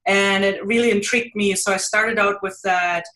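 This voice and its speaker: German lady guest on a podcast, bright tense voice, talks a lot and laughs. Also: mid-range voice, steady tone, normal speed, low-quality recording. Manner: chatty, laughs often, a little nervous. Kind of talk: casual interview chat.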